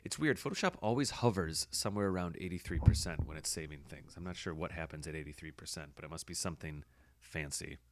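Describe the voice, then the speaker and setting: A man with a hoarse voice, casually talking through his editing work as a podcast host, thinking aloud with fillers. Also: extremely low pitch, quick pace, hardly any pauses, a little hiss or hum. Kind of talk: casual screen-recording commentary.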